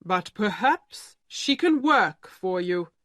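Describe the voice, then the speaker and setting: A woman voicing a calm, blunt male lawyer who speaks in a flat, matter-of-fact way. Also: medium pitch, slow pace, no echo, studio quality.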